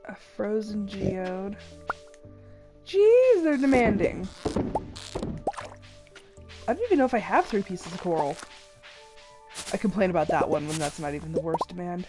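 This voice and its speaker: A woman's voice: medium pitch, animated, measured pace, long pauses. A young adult woman streaming a game while congested and a little sick, chatting casually and apologizing for her voice. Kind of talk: casual gameplay commentary. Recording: game music behind voice.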